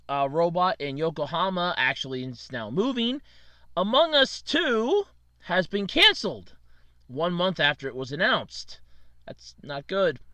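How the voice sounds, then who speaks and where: A man's voice: sits high, pushed and bright, clean tone, dry recording. A man hosting a casual solo podcast, his throat scratchy from allergies, rambling and correcting himself with little laughs. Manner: rambling, self-correcting, chuckling. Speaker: podcast host.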